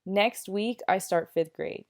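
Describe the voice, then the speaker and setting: A woman's voice: medium pitch, slow pace. A woman reading a children's novel aloud as a candid, self-aware ten-year-old boy, in a conversational and emphatic way. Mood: chatty, candid. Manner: conversational, emphatic storybook narration.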